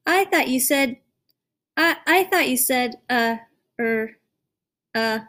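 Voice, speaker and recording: A woman's voice: high-pitched, takes it slowly, voice pushed up, full character voice. A woman reading aloud, voicing a young boy who gets flustered and stammers. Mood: excited. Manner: stammering, excited.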